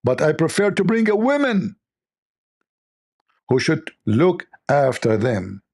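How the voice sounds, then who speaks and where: A man with a gravelly, creaky voice that sits a bit high for a man. He delivers a mocking commentary in non-native English and fires off rhetorical questions. His voice is worn and strained, as if he is losing it. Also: very animated, slow pace, darkish tone. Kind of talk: critical commentary monologue.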